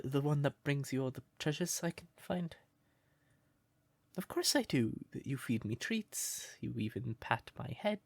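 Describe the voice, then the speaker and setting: A man playing a shy, sweet, curious crow turned human in a roleplay audio, speaking gently and breathily with hesitant stammers. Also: fairly high pitch, creaky, no room sound, exaggerated voice. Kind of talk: soft roleplay audio, intimate character acting.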